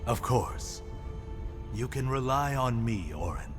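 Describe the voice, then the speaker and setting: A man's voice: mid-range voice, animated, slow, big-room sound, music in the background. A man playing a self-assured freed captive, an ally to the hero in a video game. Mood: pleased. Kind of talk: video game cutscene acting.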